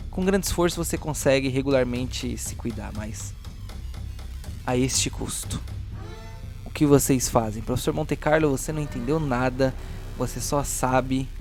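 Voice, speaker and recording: A man's voice: mid-range voice, darkish tone. A Brazilian man narrating a horror tabletop game as game master, moving between dramatic description and casual slangy asides. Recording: music playing behind the voice. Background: music.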